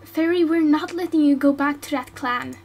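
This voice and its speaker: A woman voicing a calm, blunt female witch healer in an amateur audio drama. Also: high-pitched, unhurried. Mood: weary.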